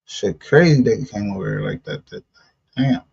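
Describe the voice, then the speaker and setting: A man with a husky voice chatting casually on a livestream, blunt and profane, with street slang. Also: lowish voice, wildly swooping pitch, moderate pace, darkish tone. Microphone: streaming call. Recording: dull lo-fi stream audio.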